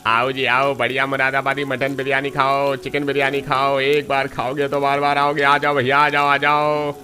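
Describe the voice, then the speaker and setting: A man's voice: medium pitch, monotone, moderate pace, bright, forceful tone, lightly acted. A man playing a brash street hawker selling biryani in a cartoon story. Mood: calling out. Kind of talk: Hindi cartoon story voice acting.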